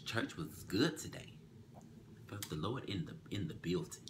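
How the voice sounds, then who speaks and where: A young man co-hosting a casual chat show, teasing with quick comebacks and often dropping to a murmur, recorded in a small bedroom. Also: low-pitched, animated, noisy background. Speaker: co-host.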